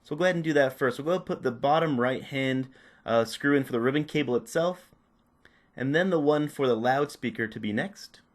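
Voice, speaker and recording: A man with a medium-pitched voice presents a practical tutorial. He explains each step casually and without a script, talking as he works. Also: fairly quick. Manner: unscripted, narrating while working. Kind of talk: casual step-by-step hands-on instruction.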